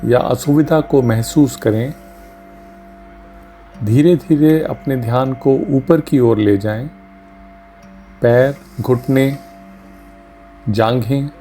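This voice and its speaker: A man leading a guided meditation as a doctor, speaking gently and calmly in short phrases with long pauses. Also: medium pitch. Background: music under the voice.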